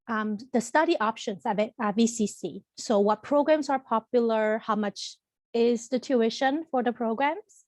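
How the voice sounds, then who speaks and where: A woman from Taiwan with a fairly high voice walks prospective students through a college presentation in a friendly, explanatory way, pausing on ums and restarting phrases. She speaks into a computer mic on a video call, and the sound is dry and close with no room around it. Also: no room sound. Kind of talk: online information presentation.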